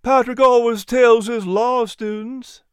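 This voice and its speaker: A narrator voicing an excitable female animal who speaks emphatically. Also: medium pitch, takes it slowly, clear, resonant tone, no room sound, pitched above the performer's own voice, natural voice. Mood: emphatic. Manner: emphatic, exclaiming.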